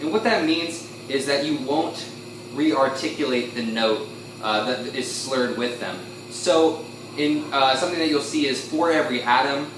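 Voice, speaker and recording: A man explaining a musical technique informally as an instructor, pausing and correcting himself as he goes. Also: medium pitch, recorded from a distance. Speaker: instructor demonstrating a talk box. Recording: echoey room, off-mic, some noise.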